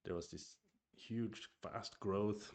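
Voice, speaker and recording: A man's voice: lowish voice, fairly even intonation, slow pace, creaky, darkish tone. A man streaming a review of his own go games, thinking aloud in a casual chat with murmured asides and restarts; his first language is Swedish.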